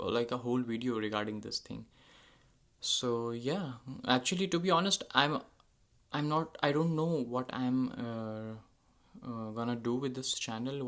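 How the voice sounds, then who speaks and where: A man's voice: mid-range voice, fairly bright tone. A young man whose first language is Hindi, talking casually to his channel's viewers, with frequent fillers and self-corrections. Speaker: channel host. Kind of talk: casual unscripted monologue.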